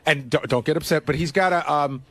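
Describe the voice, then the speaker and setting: A man's voice: a little high, fairly quick, fairly bright tone, dry recording. A man, a radio show producer, talking casually in a studio discussion.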